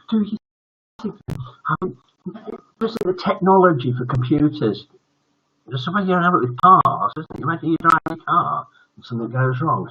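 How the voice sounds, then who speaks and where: An older man with a husky voice of middling pitch chats casually as a livestream host. He rambles and jokes, often leaving thoughts unfinished. The sound is dull and lo-fi. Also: sharp tone. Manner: rambling, jokey, often trailing off. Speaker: host of a children's bedtime story livestream. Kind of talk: casual livestream chat with viewers.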